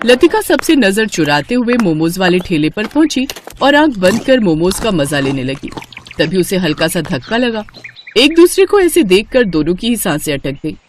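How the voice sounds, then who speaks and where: A woman reads a scripted moral tale as narrator. Her pitch is medium and moves around a great deal. The delivery suits a children's story. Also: big pitch swings, moderate pace, a little hiss or hum.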